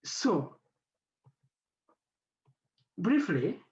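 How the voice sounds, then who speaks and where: Lively-voiced French-speaking man on a panel. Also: high voice, lo-fi sound. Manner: halting, searching for words with many fillers. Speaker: head of an institute. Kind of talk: academic panel talk.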